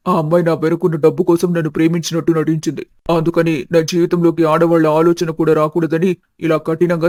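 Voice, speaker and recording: A man voicing a sincere, gentle young husband in an animated family story, earnest and subdued. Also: a little high, dry recording, clean studio sound, close to an everyday voice. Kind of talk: animated family drama dialogue.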